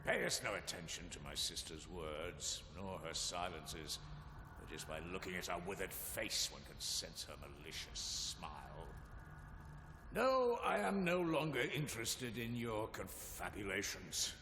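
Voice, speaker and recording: A sharp, forceful male voice rings through a long reverberant hall. He is scornful and theatrical, with archaic diction and dramatic swings of pitch, in a dark fantasy game. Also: big pitch swings, a little slow, exaggerated voice. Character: scornful, resentful brother in a gloomy manor. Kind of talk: scripted dramatic game dialogue. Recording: echoing hall, long reverb, faint hiss.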